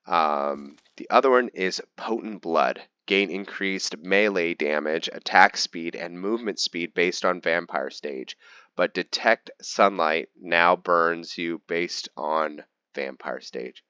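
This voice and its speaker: A man's voice: sits low, slow pace, bright, forceful tone, clean tone, no room sound. A man giving casual gameplay commentary as a host, rambling as he explains things step by step. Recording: dull lo-fi mic with faint noise.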